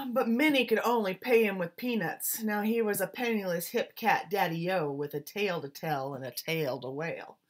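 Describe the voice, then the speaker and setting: A woman with a mid-pitched voice reads a picture book aloud like a teacher. Her delivery is rhythmic and expressive, with theatrical stress on rhymes and beats. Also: moderate pace.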